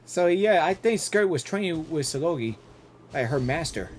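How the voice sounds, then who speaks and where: A game reaction streamer giving casual commentary, halting and repeating words while thinking aloud. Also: mid-range voice, animated, a little mellow, slight background noise.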